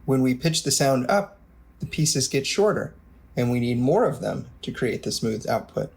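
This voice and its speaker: A man's voice: medium pitch, lively intonation, moderate pace, vocal fry, darkish tone. A man narrating a scripted technical tutorial in a methodical, explanatory way, as a product demonstrator.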